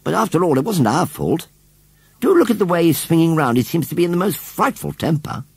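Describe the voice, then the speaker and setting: Man acting an earnest young girl, lightly raised pitch. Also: sing-song, brisk, vocal fry, darkish tone, husky, no echo, heavily acted. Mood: worried.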